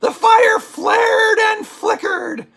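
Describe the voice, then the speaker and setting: A woman playing an excitable, easily sidetracked spooky storyteller for a comic puppet show, with exclamatory, drawn-out dramatic delivery. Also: very high voice, creaky, raised voice, full character voice. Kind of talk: theatrical comic reading of a scary story.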